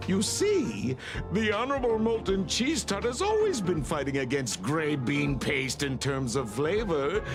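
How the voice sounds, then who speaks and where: A man puts on a fawning, fanatical game follower with a very high voice for a male. The delivery is grandiose and theatrical, swinging widely in pitch and drawing words out slowly, over prominent music. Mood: zealous, insistent. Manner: melodramatic, grandiose, swooping delivery. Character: zealous, fawning devotee of an honorable creature. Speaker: game streamer. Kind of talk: theatrical read-aloud of video game dialogue.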